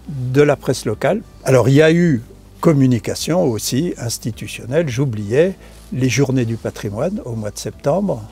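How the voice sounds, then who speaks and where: A man's voice: mid-range voice, gravelly, mellow, husky. An older man from France explaining the funding of a restoration in an outdoor interview, hesitant with many fillers and restarts. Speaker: association member. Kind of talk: interview answers, casual explanation. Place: outdoors on a stone chapel tower balcony.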